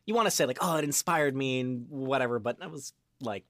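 A man's voice: mid-range voice, a little fast, fairly bright tone, dry recording, studio quality. A young man speaking as a guest voice actor in a casual interview, with a hesitant, rambling delivery.

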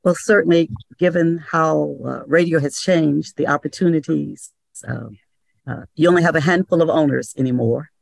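An older woman from North Carolina, a radio station owner, speaks with a gravelly, slightly rough edge. Her words come slow and considered, broken by frequent pauses. The sound is close and dry, with no room around it. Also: deep voice, no room sound. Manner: measured, advising, many uh pauses. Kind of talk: panel discussion. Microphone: video call.

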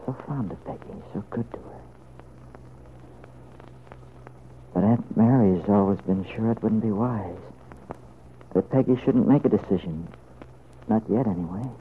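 An actor playing an anxious, tender middle-aged father in an old-time radio serial, speaking in a halting, earnest way. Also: lowish voice, natural voice. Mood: troubled musing. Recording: muffled, noisy vintage radio recording.

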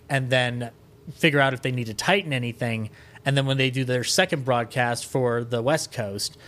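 A man's voice: medium pitch, a bit bright. Man chatting casually as a podcast guest. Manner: rambling, enthusiastic film-buff talk. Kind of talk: casual conversational storytelling.

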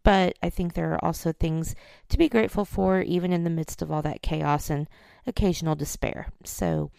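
Woman podcast host, hoarse scratchy voice with a low, mellow tone, rambling friendly chat. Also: lively intonation, normal speed, no echo, studio quality. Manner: chatty, self-deprecating, rambling. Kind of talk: casual solo chat.

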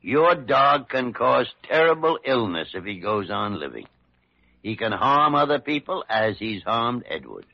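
An American actor playing a gruff but kindly old senior doctor in a vintage radio drama, halting and emphatic as he repeats his words. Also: mid-range voice, sing-song, exaggerated voice. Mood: solemn, gentle. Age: older. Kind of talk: 1940s-50s radio drama acting. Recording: dull vintage radio recording.

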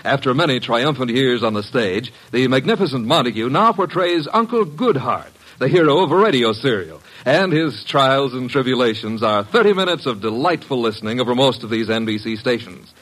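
Animated vintage radio announcer, a man. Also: medium pitch, fairly quick, few pauses, low-quality recording. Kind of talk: 1950s radio announcing, dramatic scripted introduction.

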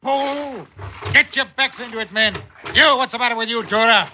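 An actor playing a rough, wheedling mutineer sea captain in an old-time radio drama, with hearty, blustering sailor talk. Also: male voice, very high-pitched, moderate pace, sharp tone, full character voice. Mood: angry, urgent. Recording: old radio recording with faint noise.

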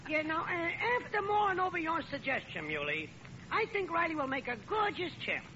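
A noisy, muffled old radio recording of a chummy working-class neighbor. He puts on folksy grand phrases and his pitch leaps all over the place. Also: big pitch swings, brisk, a crowd in the background. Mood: disbelieving. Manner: folksy mock-formal, mangled big words. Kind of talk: old-time radio comedy acting.

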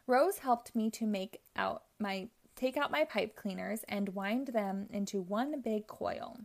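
A woman reading a children's picture book aloud, narrating in a gentle, expressive storytelling manner. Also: a little high, creaky. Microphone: audio only, close mic.

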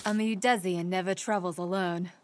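A woman's voice: fairly low pitch, fairly even intonation, normal speed, slight background noise, close to an everyday voice. A woman playing a stern, obedient forest archer in an audio drama, cool, formal and firm. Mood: cold command.

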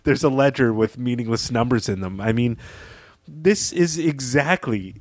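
A man's voice: mid-range voice, moderate pace, no echo, studio quality. A man co-hosting a podcast, talking through literary analysis in a conversational way. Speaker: podcast co-host.

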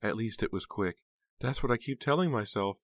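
A grieving older woman, played by a male narrator, frail and tearful. The voice is lifted high above the reader's own, and the aunt rambles and repeats herself in this audiobook story. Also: a little slow, dry recording, clean studio sound, close to an everyday voice.

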